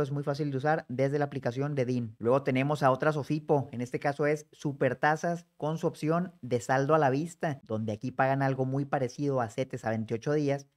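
A man, explaining savings options in a lively, conversational tutorial that speaks straight to the viewer. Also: medium pitch. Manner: brisk, conversational, addresses viewer directly. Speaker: personal finance educator. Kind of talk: explanatory screen-share tutorial.

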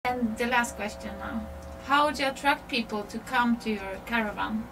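A woman's voice: fairly high pitch, normal speed, recorded from a distance. A woman interviewer putting short, casual questions to guests. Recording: off-mic voice, clear background noise.

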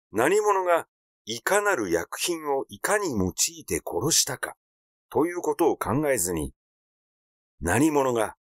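A man narrates a literary story as an audiobook reader. His pitch moves in a lively way across the phrases. The sound is dry, with very little room around it. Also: dry recording. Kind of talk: literary narration reading aloud.

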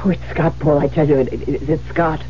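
An actress playing a distraught, guilt-haunted widowed writer, stammering and pleading in 1970s radio drama style. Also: female voice, fairly even intonation, fairly quick, mellow, natural voice. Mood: insistent, agitated. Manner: stammering, pleading, highly emotional. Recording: muffled, noisy vintage radio broadcast.

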